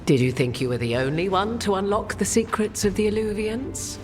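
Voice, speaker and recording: Woman voicing a haughty knowing fantasy game witch, arch formal talk, very lively pitch, loud music under it. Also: fairly quick, lightly acted. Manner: formal, arch, theatrical diction. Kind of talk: video game cutscene acting.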